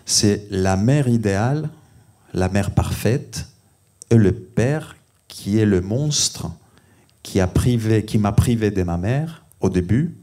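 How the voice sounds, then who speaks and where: A man's voice: on the low side, expressive, slow, mellow. A man from Greece with a Greek accent, an author speaking at a library panel, hesitant with many fillers and restarts. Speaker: guest author. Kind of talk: panel discussion at a library event. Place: library event room.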